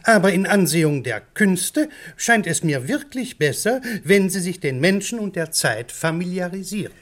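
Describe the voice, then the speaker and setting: An actor playing a genteel, agreeable small-town gentleman debating with his friends in a radio play. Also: high voice, lively intonation, moderate pace. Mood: genial agreement.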